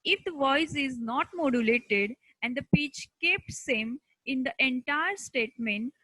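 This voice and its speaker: A woman teacher with an Indian accent giving an instructional lecture in short, deliberate, emphatic phrases. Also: high-pitched, animated, bright, forceful tone, no room sound, poor audio.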